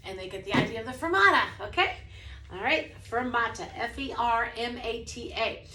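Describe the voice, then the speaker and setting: A woman's voice: lively intonation, moderate pace, bright, forceful tone, roomy sound, slight background noise. A woman who teaches music to preschoolers, talking through a lesson casually and breaking into short sung examples. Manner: demonstrates by singing snippets, then speaks casually; says she has a low voice.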